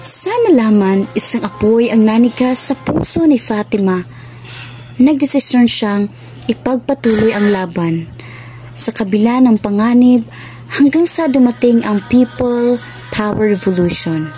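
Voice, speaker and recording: Solemn, mellow woman narrator. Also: fairly high pitch, expressive, measured pace, soft background music. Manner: solemn, formal. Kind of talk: scripted radio drama narration.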